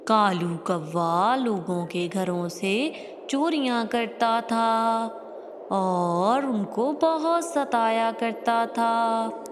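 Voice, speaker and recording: A woman's voice: on the high side, drawn-out words. A woman narrating a scripted children's cartoon story as the storyteller. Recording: noisy, long hall-like reverb.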